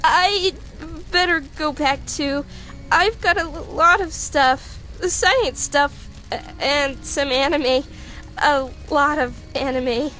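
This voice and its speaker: A woman's voice: slow pace, sharp tone, full character voice. A woman voicing a nervous, geeky female monster scientist in a comic dub, stammering as she explains earnestly. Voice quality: stammering, high-pitched. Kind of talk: animated comic dub acting. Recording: music behind the voice.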